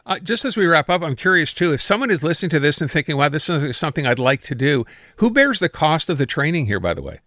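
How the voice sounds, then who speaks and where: A man's voice: on the high side, sing-song, fast talker, pushed and bright, no echo. A man hosting a radio interview, asking chatty, polite, loosely phrased questions in a conversational way.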